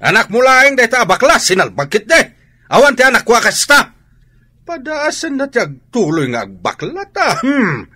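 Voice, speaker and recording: A short-tempered, scornful father in an Ilocano radio drama. He scolds and blusters in an Ilocano accent. His voice is forceful and swoops widely in pitch. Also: wildly swooping pitch, normal speed, breathy edge, heavily acted. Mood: angry.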